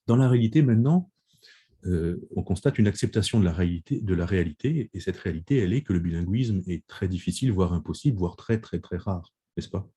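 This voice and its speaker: A man giving a video-conference lecture on survey findings, speaking in measured phrases with frequent restarts and hesitations. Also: low-pitched, lively intonation, warm tone, husky. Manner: measured, many restarts and pauses with "euh". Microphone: video call.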